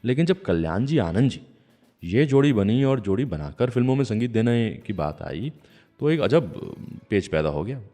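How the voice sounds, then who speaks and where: A man's voice: medium pitch, very animated, moderate pace, warm tone, in a large hall. A man hosting a radio show, telling stories in a conversational way. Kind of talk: conversational storytelling radio presentation. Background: music.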